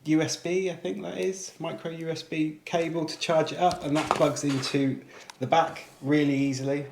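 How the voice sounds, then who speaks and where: A man's voice: a little high, little pitch movement, normal speed, creaky. A man presenting a casual product unboxing, chatting informally with British slang. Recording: echoey room, faint background noise.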